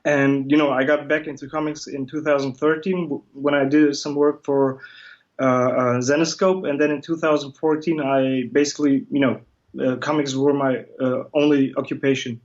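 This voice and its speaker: A man's voice: mid-range voice, level tone, moderate pace. A man, a comic illustrator chatting as an interview guest over a video call, joking about himself. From Germany. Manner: self-deprecating, joking, with restarts. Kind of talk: casual interview chat. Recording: muffled video call audio.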